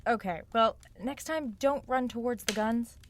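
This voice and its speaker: An actress playing a sharp, sarcastic young woman who probes for answers in a naturalistic audio drama. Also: a little high, measured pace, a little hiss or hum, close to an everyday voice. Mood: sardonic, insistent. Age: young adult. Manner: wry, probing, sardonic.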